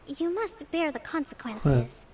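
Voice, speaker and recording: A woman playing a composed, principled young priestess who leads an island, speaking in a formal, measured, authoritative way in a video game. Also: high-pitched, huge pitch swings, darkish tone, a little hiss or hum, exaggerated voice. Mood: firm, formal. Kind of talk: scripted video game voice acting.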